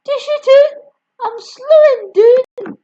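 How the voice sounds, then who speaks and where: A woman's voice: very high-pitched, takes it slowly, voice pushed up. A woman playing a scratchy, shrieking old grandma. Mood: demanding. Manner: shrieking, bossy demands. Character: wild, greedy old grandmother, shouting gleefully. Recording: dull lo-fi recording.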